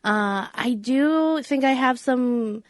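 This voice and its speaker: A woman's voice: high-pitched, slow, dry recording. Chatty woman comedian, dry close sound. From Minneapolis area, USA. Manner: chatty, laughs often, many "like" fillers. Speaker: comedian guest. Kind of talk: casual podcast chat.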